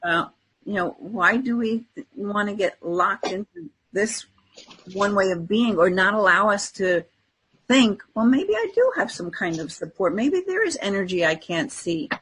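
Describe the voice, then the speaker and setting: A woman's voice: medium pitch, expressive, unhurried, a bit bright, slight background noise, rough recording. A woman co-hosting a podcast, talking in an earnest, conversational way about spiritual things and often checking for agreement. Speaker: podcast co-host. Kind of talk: casual spiritual chat.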